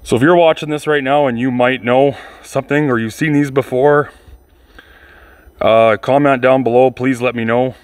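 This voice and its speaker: A man's voice: medium pitch, fairly even intonation, moderate pace. A man giving casual hands-on video commentary, informal and rambling as he thinks aloud. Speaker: vlogger restoring old speakers.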